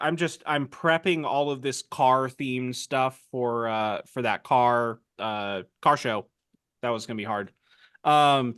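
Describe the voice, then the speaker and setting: A man's voice: a little high, a bit bright, clean tone, no room sound, clean studio sound. A man co-hosting a woodworking podcast, chatting casually and joking dryly about his shop projects. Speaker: podcast co-host. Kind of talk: casual chat.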